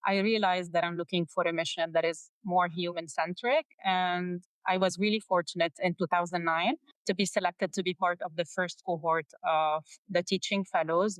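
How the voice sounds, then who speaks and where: Lebanese woman in her 30s being interviewed, really clear smooth voice, dry sound, earnest. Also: on the low side, dry recording, low-quality recording. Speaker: guest, nonprofit education executive. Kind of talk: interview answer recounting career.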